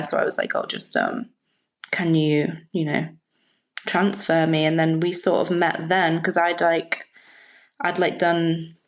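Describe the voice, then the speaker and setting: A woman in her thirties from Liverpool with a softened Liverpool accent, a podcast guest chatting in a rambling way and laughing often. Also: on the low side. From Liverpool, England. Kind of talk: casual chat.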